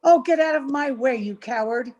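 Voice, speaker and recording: A woman's voice: high-pitched, normal speed, muffled recording, noisy background, close to an everyday voice. An actress playing a domineering, hard-headed, scornful woman, bossy and impatient, in the style of a 1930s radio horror play. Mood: wary, dismissive. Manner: bossy, sharp-tongued, impatient.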